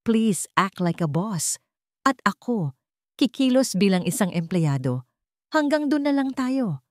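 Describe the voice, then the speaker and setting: A woman's voice: mid-range voice, very animated, a little slow, no echo, studio quality. A woman voicing a wounded, defiant young single mother in an emotional radio-style drama story. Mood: bitter, cold.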